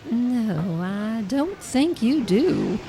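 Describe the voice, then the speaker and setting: A woman's voice: on the high side, sing-song, extremely slow, mellow, a little hiss or hum, heavily acted. An actress playing a suspicious, determined woman with dramatic delivery in an audio drama. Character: Amelia, suspicious, determined female adventurer.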